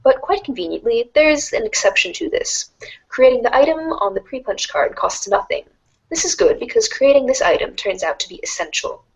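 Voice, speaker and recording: A woman's voice: high voice, very animated, fairly quick, gravelly, slightly rough, full character voice, low-quality recording. A woman playing a wry, erudite teenage girl who reads out a game guide in a formal, precise, dryly instructive way, as storybook narration. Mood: measured, instructive. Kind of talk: scripted read-along storybook narration.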